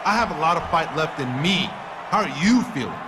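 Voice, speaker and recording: Casting: male actor as defiant wrestler in a game promo, raised pitch, mocking showman command, over a roaring crowd. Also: expressive, a little fast, natural voice. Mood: mocking, vengeful. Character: defiant male pro wrestler taunting his boss. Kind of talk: in-ring microphone promo in a wrestling video game. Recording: loud arena crowd noise throughout.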